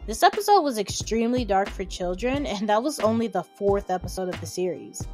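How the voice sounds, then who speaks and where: A woman's voice: mid-range voice, animated, a little fast, a little mellow. A young adult woman narrating an informal, opinionated video commentary with emphatic asides. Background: background music.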